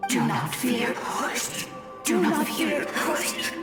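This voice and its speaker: Eerie, echoing, very slow ghost. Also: female voice, high-pitched, big pitch swings, full character voice. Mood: solemn urging. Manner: halting, drawn-out. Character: otherworldly ghost host chanting broken, solemn phrases. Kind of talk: video game voice acting. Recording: echoing spectral reverb, music behind.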